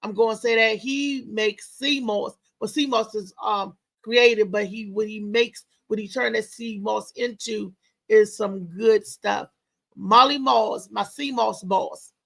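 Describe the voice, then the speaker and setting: A woman hosting a casual live show as a comedian, talking in a loud, lively, chatty way with lots of exclamations. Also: medium pitch, takes it slowly, creaky. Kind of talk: casual live-stream chat and promotion.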